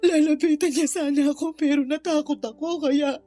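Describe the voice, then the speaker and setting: A woman acting a destitute, guilt-ridden mother in a radio drama. Her high voice is tearful and pleading, crying as she confesses her shame, with music playing underneath. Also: fairly even intonation, normal speed, dark tone, natural voice. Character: remorseful impoverished mother, a runaway aunt, ashamed and grieving.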